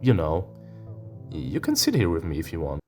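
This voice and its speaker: Man reading as a friendly, low-voiced young wolf musician. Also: wildly swooping pitch, moderate pace, darkish tone. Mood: relaxed, friendly. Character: small friendly young male wolf musician, casual and welcoming. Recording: music behind the voice.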